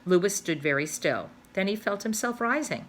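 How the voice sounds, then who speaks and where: Teacher lady reading a kids' book out loud, lively up and down voice, bright. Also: mid-range voice, normal speed. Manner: expressive, animated storytelling. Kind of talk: reading a children's novel aloud.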